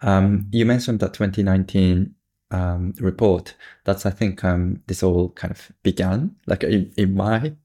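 A man's voice: low voice, steady tone, a little slow, warm tone. A man hosting an interview, speaking hesitantly with many "um"s. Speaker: interview host. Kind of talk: casual interview.

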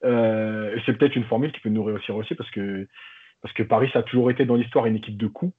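A man on a football podcast panel giving a relaxed, joking opinion, thinking aloud as he goes. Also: fairly low pitch, fast, husky. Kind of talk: casual opinion chat. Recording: tinny phone-like line.

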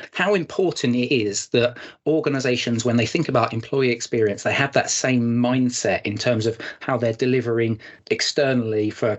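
A man's voice: mid-range voice, breathy edge. A man hosting a podcast in a chatty, rambling way, introducing guests and setting up questions. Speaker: podcast host.

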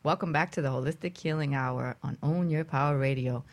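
A woman's voice: low-pitched, fairly quick. A woman hosting a radio talk show in a casual interview style.

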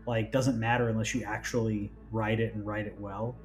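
A man's voice: on the low side, level tone, moderate pace, faint music behind. A man talking casually as a podcast host, rambling and often restarting his phrases. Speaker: podcast host on a gaming news show. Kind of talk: casual conversational chat.

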